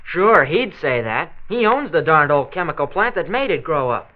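An actor with an American accent playing an earnest, grumbling teenage high school boy in a late 1940s radio drama. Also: big pitch swings, normal speed, a little hiss or hum. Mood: indignant. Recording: dull old transcription disc.

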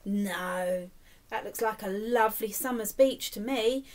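A middle-aged woman reading a picture book aloud to young children, expressively and deliberately, prompting them with questions. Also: medium pitch. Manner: animated, slow and deliberate, prompting young listeners. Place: indoors, small room with decorated wall.

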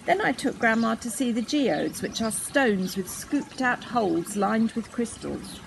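A woman's voice: a little high, lively intonation, measured pace, vocal fry, noisy background. An older woman reading a children's story aloud expressively, outdoors. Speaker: storybook reader. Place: outdoors in a garden.

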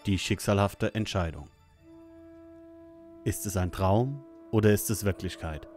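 A fairly low male voice reads scripted narration for an audio drama. Faint music plays underneath. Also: a little mellow.

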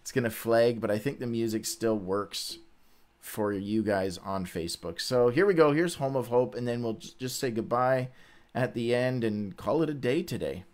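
A man's voice: mid-range voice, darkish tone. A man in his forties hosting a casual livestream, chatting informally and often stopping to correct himself. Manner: halting, informal, self-correcting. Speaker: host of a Bible read-along. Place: small indoor room, seated before a webcam.

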